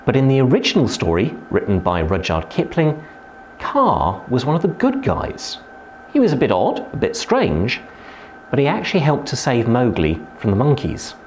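A man, a zookeeper giving an educational talk to camera inside a reptile house, explaining with lively emphasis. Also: a little hiss or hum. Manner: lively, emphatic explainer with sudden pitch rises. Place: indoor reptile house with lit terrariums.

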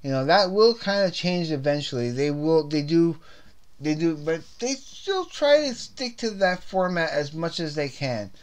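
A man's voice: a little high, big pitch swings, a little slow. A man hosting his own CD review series, chatting casually and rambling, repeating words and restarting sentences, with a slightly scratchy throat. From Canada. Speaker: host of a CD review video series. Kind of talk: casual unscripted music album review.

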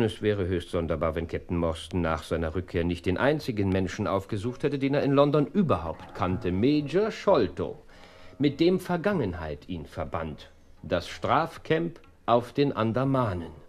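An actor playing a shrewd, self-assured detective in a radio drama, questioning incisively and with command. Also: male voice, fairly low pitch, a little mellow.

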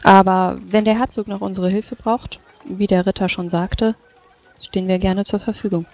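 A woman's voice: mid-range voice, steady tone, a little mellow, highs cut off, lightly acted. Woman playing a formal, courteous adventurer. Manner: polite, measured, formal. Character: courteous, formal female adventurer addressing officials.

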